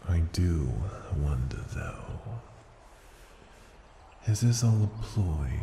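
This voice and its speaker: A man voicing a haughty, teasing ancient dragon in human form for an ASMR fantasy roleplay, gravelly and breathy, with condescending, seductive murmurs. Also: extremely low pitch, heavily acted. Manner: condescending, taunting, drawn-out phrases dipping into breathy murmurs. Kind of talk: ASMR fantasy roleplay, slow theatrical delivery. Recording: echoing reverberant hall, background ambient noise.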